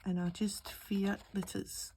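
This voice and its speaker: A middle-aged Scottish woman, slightly croaky with a cold, chatting and thinking aloud as she narrates a casual craft tutorial. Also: medium pitch, little pitch movement, slow pace, warm tone. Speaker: craft channel host. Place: indoor craft table. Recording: clearly audible background noise, handling clicks.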